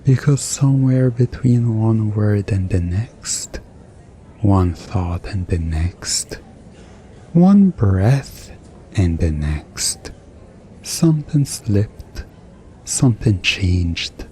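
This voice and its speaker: A man playing a mischievous, smugly commanding hypnotist in an ASMR roleplay, soothing and teasing with deliberate pauses. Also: on the low side, animated, warm tone, a little hiss or hum. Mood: teasing, hypnotic.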